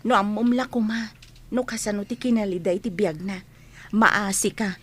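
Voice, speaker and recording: A woman's voice: mid-range voice, steady tone, moderate pace, a bit bright, slightly rough. A woman with an Ilocano accent playing a kind, frank young student in a radio drama, a cheerful and loyal friend. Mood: earnest.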